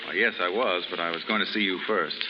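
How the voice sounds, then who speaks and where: An actor playing an earnest, protective and quietly wounded man in a 1930s radio drama, sincere and plain-spoken. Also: deep voice, fairly even intonation, quick pace, natural voice. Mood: gentle regret. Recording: muffled, reverberant, hissy 1930s radio recording.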